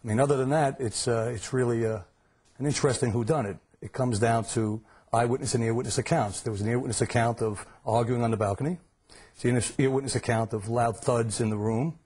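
A middle-aged man with a raspy, mid-pitched voice. He gives expert commentary on crime as a live TV studio guest. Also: fairly even intonation, fairly quick, a little mellow. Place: television news studio.

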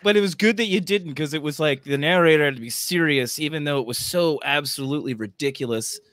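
Guy chatting on a group call, voice a bit high, dry sound. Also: fast, fairly bright tone, no echo. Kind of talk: casual chat. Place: indoor room, video call.

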